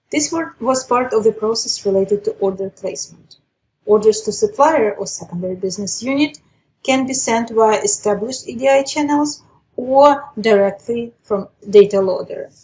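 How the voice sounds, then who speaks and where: A woman presenting a software webinar, explaining a step-by-step demonstration in a methodical way. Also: a little high, slight background noise, rough recording.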